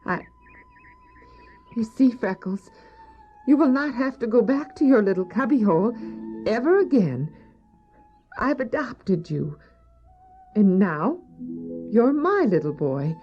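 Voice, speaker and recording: A woman's voice: fairly high pitch, sing-song, slow, pauses often, husky, full character voice. A woman playing a kindly, pious, wealthy lady in an audio drama, speaking tenderly and earnestly. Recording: muffled old cassette drama, faint music.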